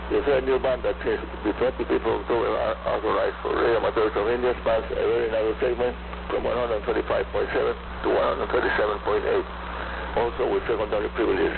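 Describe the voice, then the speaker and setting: Through a noisy, narrow shortwave signal comes an older man with a Cuban accent. His voice is low and gravelly. He hosts a scripted radio bulletin in a deliberate, emphatic way. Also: fairly even intonation. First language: Spanish. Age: 70s. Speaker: radio host. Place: radio studio, heard over shortwave.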